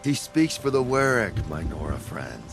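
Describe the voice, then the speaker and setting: An actor playing a proud, weary exiled tribesman in a video game, with a wry, halting, reflective delivery. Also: lowish voice, very animated, over music. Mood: defiant.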